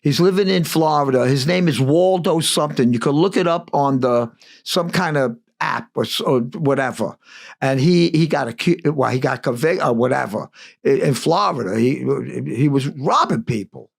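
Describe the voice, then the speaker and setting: A man's voice: medium pitch, normal speed, gravelly. An older man, telling rambling stories as a guest in a casual interview and acting out old conversations. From United States. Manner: rambling, animated, acts out dialogue. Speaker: guest musician.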